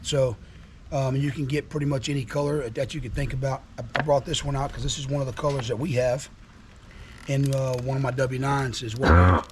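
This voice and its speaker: A man with a hoarse, worn voice from being sick, casually showing off truck parts with folksy enthusiasm and lots of repeats. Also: mid-range voice, fairly quick, noisy background. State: hoarse from illness. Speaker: trucking vlogger. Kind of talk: casual product show-and-tell. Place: outdoors at a house.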